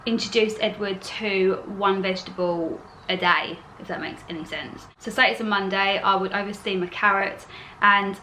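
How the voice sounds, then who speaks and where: A young mum talks straight to her viewers in a chatty, earnest way, circling back and repeating her points. Her throat is a little sore, and her voice sits at a mid pitch. A faint hum of room ambience runs underneath. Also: moderate pace, bright, forceful tone, poor audio. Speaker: parenting vlogger. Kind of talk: casual advice vlog to camera.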